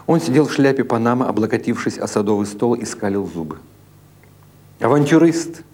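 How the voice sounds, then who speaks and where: A man narrating literary short stories as a dramatic audiobook, in a measured, deliberate way with expressive phrasing. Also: lowish voice. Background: music behind the reading.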